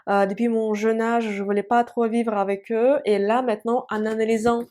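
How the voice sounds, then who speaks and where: A woman's voice: on the high side, level tone, moderate pace, warm tone. A woman in her thirties, a native Russian speaker, explaining things in a chatty, hesitant way with many fillers, as an online language teacher. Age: 30s. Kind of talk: casual explanatory online lesson.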